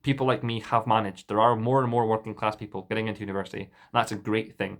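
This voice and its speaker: A man's voice: fairly low pitch, level tone, fast talker. Young Scottish man ranting defensively. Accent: Dundee Scottish, mild. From Scotland. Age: young adult. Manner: indignant and defensive, with frequent restarts. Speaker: vlogger. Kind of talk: casual monologue to camera.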